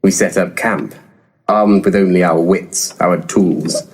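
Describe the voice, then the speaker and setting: A pompous ghost hunter in an audio comedy, really low voice, wordy and grand but kinda crude. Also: male voice, fairly even intonation, takes it slowly. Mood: grandly narrating. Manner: grandiloquent, verbose. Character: pompous male paranormal investigator, florid and crude. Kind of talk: comedic audio drama acting and narration.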